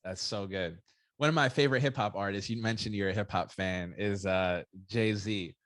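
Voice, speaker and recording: A man's voice: fairly low pitch, normal speed, fairly bright tone, no room sound. A man hosting a podcast in casual, informal conversation, affirming as he goes. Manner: affirming, informal, interjecting agreement. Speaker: podcast host. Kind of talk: casual interview chat.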